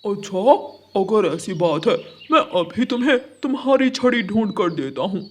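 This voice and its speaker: A man's voice: sits high, lively intonation, moderate pace. A man voicing a lazy, scheming crow in a cartoon story, grumbling and bossy. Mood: demanding. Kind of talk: Hindi cartoon story dialogue.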